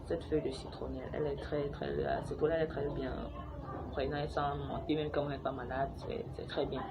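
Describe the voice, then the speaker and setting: A young woman from Cameroon talks to her viewers in a chatty, halting way, full of fillers and restarts. Her voice sits quite low. The home recording carries clear background noise, with children heard playing nearby. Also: little pitch movement. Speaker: vlogger sharing a home remedy. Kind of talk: casual how-to talk to camera. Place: home, with noisy neighbours and children playing outside.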